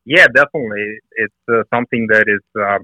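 Bulgarian-accented man, bright and dry. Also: fairly low pitch, brisk, dry recording, lo-fi sound. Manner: hesitant, many "uh" fillers, earnest. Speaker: guest, fitness and nutrition coach. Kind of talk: podcast interview.

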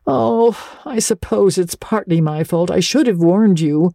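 A woman's voice: fairly low pitch, animated, unhurried, creaky, dark tone, dry recording, clean studio sound, pitched above the performer's own voice. A woman reading aloud and voicing a stern, curt older spinster woman. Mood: exasperated. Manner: curt, sniffing, grim.

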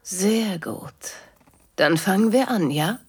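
A woman playing a calm, strict dominatrix in an intimate audio drama, teasing and commanding in a controlled, breathy voice. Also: mid-range voice, slow, heavily acted. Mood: coolly teasing.

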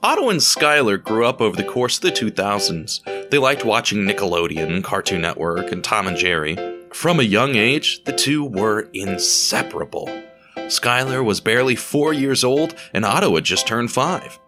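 A man reading a scripted story as narrator, in a measured, dramatic way with deliberate pauses. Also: fairly low pitch, big pitch swings. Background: music.

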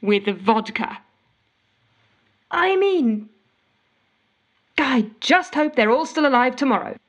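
High-voiced, frantic woman. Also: expressive, takes it slowly, a bit bright, lo-fi sound, exaggerated voice. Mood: exasperated, alarmed. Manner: exasperated, dramatic storytelling. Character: frantic woman desperate to wash her itchy hands. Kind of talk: audio fiction monologue, told as a phone call.

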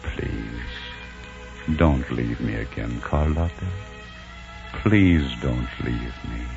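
An actor playing a weary, proud, lonely old Spanish conquistador in a 1940s radio drama, theatrical and wistful. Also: male voice, rumbling low, gravelly, exaggerated voice. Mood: desperate longing. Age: older. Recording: muffled 1940s radio broadcast, music behind.

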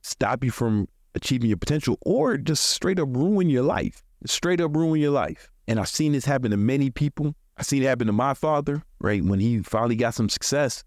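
A man's voice: medium pitch, expressive, moderate pace, few pauses, darkish tone, no room sound, clean studio sound. An American man in his forties hosting a podcast, giving casual motivational talk in colloquial, profane language. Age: 40s. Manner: colloquial, profane, chuckles often. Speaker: podcast host.

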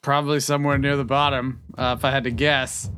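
Man player, deadpan wisecracks, crisp bright voice. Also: medium pitch, a little fast. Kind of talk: casual chat at a game table.

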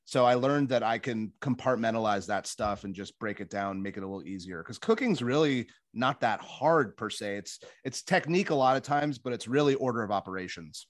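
A man's voice: medium pitch, lively intonation, a little fast, few pauses, dry recording. A man chatting casually in a group as a wine professional, explaining things with self-assurance. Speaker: wine professional and cooking competition contestant.

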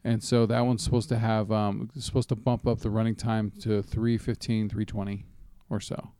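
A man's voice: lowish voice, flat delivery, normal speed, warm tone, no room sound, clean studio sound. A man co-hosting a casual film news chat, talking in an informal, profane, rambling way. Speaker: movie podcast co-host.

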